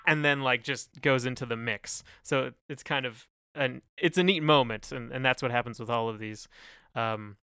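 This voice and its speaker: A man's voice: mid-range voice, normal speed, bright, forceful tone, clean tone, dry recording, studio quality. A man co-hosting a podcast in casual chat, talking in a hesitant, rambling way full of hedges. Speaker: podcast co-host.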